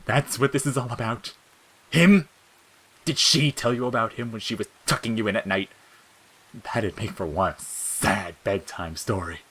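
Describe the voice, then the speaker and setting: A man's voice: on the low side, a little slow, fairly bright tone, a little hiss or hum, pitched above the performer's own voice, low-quality recording. A man voicing a cruel, mocking, childish talking flower in an audio fiction, jeering and wheedling by turns. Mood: gleefully cruel. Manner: jeering, cackling, swinging from sneers to wheedling. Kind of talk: dramatic audiobook character acting.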